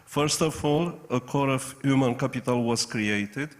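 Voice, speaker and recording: Male panel guest, average pitch, prepared business presentation, careful delivery with stops and starts. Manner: halting, careful non-fluent English. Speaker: company representative, panel guest. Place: business conference.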